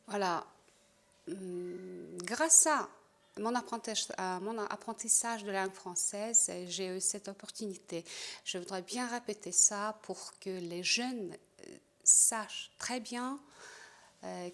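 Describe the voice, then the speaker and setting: A woman doctoral student giving a short spoken testimonial, pausing often with hesitant fillers. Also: mid-range voice. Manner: hesitant, many pauses and fillers.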